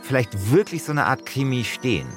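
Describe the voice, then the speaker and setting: A man's voice: medium pitch, big pitch swings, fairly quick. A man co-hosting a podcast, explaining things in a casual, colloquial and rambling way. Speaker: podcast co-host. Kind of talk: casual, unscripted chat and explaining. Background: faint music.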